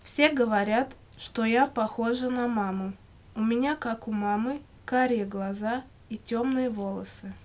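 Female, slightly high voice, reciting model answers for language learners in measured full sentences. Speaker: language-lesson model speaker answering questions. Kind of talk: scripted language-lesson dialogue, read aloud.

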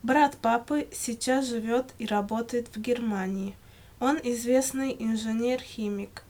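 A woman reading scripted answers for a language lesson in measured, complete sentences. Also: a little high, a little mellow. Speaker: language-lesson model speaker answering questions. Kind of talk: scripted language-lesson dialogue, read aloud.

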